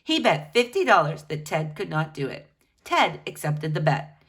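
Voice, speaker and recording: A woman's voice: deep voice, very animated, normal speed, a bit bright. A woman reading a children's biography book aloud, speaking to children in a teacherly way with pointed emphasis. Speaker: read-aloud storyteller for children.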